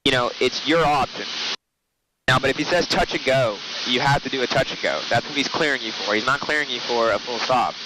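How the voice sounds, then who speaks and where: A man's voice: mid-range voice, brisk. A man giving casual running commentary as a pilot, explaining each step informally from the noisy cockpit of a small airplane. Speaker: pilot narrating flight. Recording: noisy small aircraft cockpit, engine drone.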